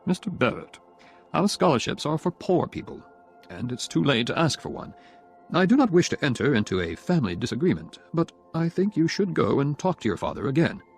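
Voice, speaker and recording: A man voicing a formal, unyielding older law school head in an audiobook, with a stiff, measured manner. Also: fairly high pitch, big pitch swings, slightly rough, faint music behind, voice pushed up, exaggerated voice. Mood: stiff, disapproving. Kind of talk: audiobook character dialogue.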